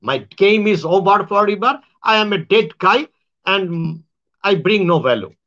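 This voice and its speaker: Man, Bangladeshi accent, high-pitched voice, halting and repetitive, muffled lo-fi audio. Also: animated, bright, forceful tone. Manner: halting, repetitive phrasing with frequent restarts. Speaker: astrologer and self-styled spiritual speaker. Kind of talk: monologue to viewers.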